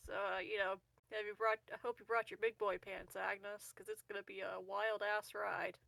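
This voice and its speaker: A woman's voice: medium pitch, flat delivery, moderate pace, gravelly, fairly bright tone, no room sound, rough recording. Casual woman roleplayer, dry close recording. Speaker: tabletop roleplaying game player. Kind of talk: casual in-character banter at game table.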